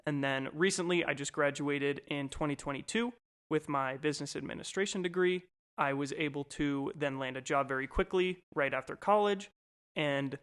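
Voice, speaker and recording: A man's voice: on the high side, a bit bright, clean tone. A man in his twenties, co-hosting a podcast, talking about himself in a rambling, easygoing way with lots of fillers. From Colorado, USA. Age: 20s. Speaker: podcast co-host. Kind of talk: casual chat.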